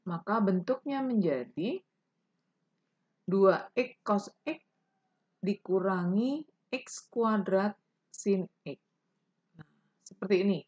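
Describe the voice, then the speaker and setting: A woman's voice: medium pitch, animated, takes it slowly, pauses often, a little mellow, breathy edge, lo-fi sound. A woman teaching a math lesson, explaining each step patiently and answering imagined student questions.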